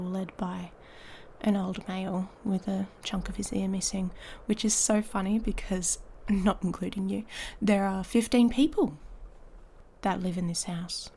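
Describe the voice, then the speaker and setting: A woman playing an irritable, sarcastic she-wolf pack heir in an intimate ASMR audio roleplay, soft and breathy, often near a whisper. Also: medium pitch, slight background noise, natural voice. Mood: grudging, sardonic. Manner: sardonic, exasperated, drawling with sighs.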